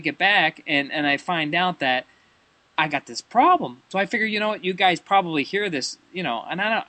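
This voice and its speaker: A man's voice: medium pitch, bright, forceful tone, dry recording. A man improvising a prank phone call as a comedy host, rambling and stammering and often repeating himself.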